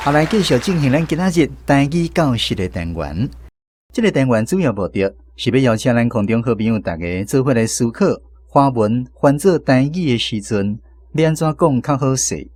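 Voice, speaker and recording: A man's voice: medium pitch, animated, normal speed, darkish tone, dry recording. Taiwanese radio host, man, dry close sound. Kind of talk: scripted radio segment presenting.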